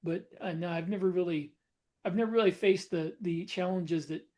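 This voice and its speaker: Relaxed, rambling middle-aged man. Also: high-pitched, normal speed. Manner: relaxed, rambling, self-correcting. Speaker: podcast co-host, author. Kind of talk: casual panel chat.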